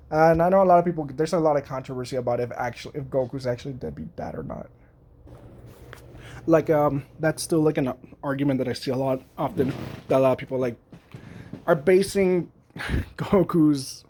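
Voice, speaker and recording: A man's voice: medium pitch, darkish tone. A man giving a casual, rambling spoken review with lots of fillers and restarts, offering blunt and enthusiastic opinions from his computer at home. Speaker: YouTube reviewer.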